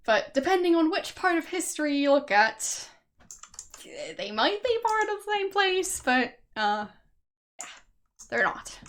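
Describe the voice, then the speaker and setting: A woman's voice: very high-pitched, sing-song, unhurried, a bit bright. A young woman from Austria with an Austrian German accent, chatting casually with her stream viewers and laughing often. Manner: chatty, laughs often, answers chat guesses.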